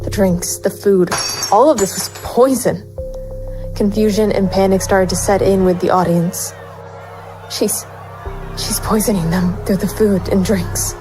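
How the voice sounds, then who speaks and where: A woman's voice: mid-range voice, steady tone, unhurried, over music, close to an everyday voice. A woman playing a wary, bitter private investigator, narrating a noir audio drama in the first person. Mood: alarmed.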